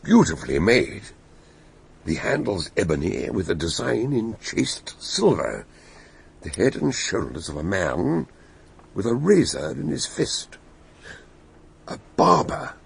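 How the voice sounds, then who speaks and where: An actor playing an eccentric elderly detective in a radio drama, with a genial, learned manner and chuckling, expressive delivery. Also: male voice, on the low side, takes it slowly, pauses often, full character voice. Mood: grim. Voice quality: gravelly, breathy voice. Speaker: amateur detective. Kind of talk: 1960s radio drama acting.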